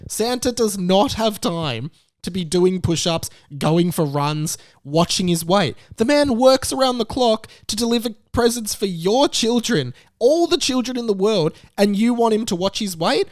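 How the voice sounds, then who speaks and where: A young Australian man with a fairly high voice rants in an exasperated, emphatic way. He sounds comically indignant, and the recording is close and dry. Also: animated, unhurried, dry recording. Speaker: YouTube commentator. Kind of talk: ranting commentary on a news story.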